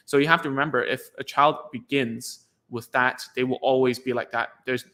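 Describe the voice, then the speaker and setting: A man's voice: medium pitch, steady tone, moderate pace, pushed and bright. A man whose first language is Cantonese, a speech therapist giving frank, rambling casual advice to parents, full of "like" fillers.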